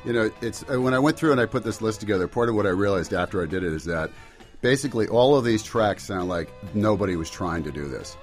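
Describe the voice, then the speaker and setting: A man's voice: on the low side, animated, fast talker. A middle-aged man, a musician guest, chatting casually in an interview. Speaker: singer-songwriter guest. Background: faint music.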